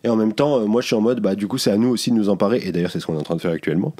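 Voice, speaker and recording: Fast-talking man co-host. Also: fairly low pitch, dark tone, no echo, studio quality. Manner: quick, agreeable interjections. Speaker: podcast co-host. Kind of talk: casual chat.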